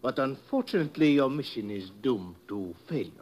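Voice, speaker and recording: An actor as a smug, mocking political schemer. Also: male voice, medium pitch, animated, a little fast, darkish tone, close to an everyday voice. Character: smug scheming male minority party leader. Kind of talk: 1950s radio drama acting.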